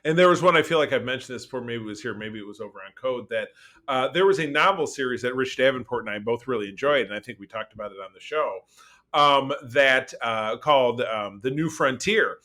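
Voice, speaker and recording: A man chatting casually as a podcast co-host, rambling along with animated, geeky enthusiasm. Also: medium pitch, a little fast. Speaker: podcast co-host reviewing pop culture. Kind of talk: casual chat.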